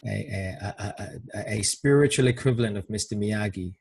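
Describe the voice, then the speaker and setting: Husky-voiced jovial male host. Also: lowish voice, normal speed, a little mellow. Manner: jovial, rambling questions with restarts. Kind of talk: casual interview talk.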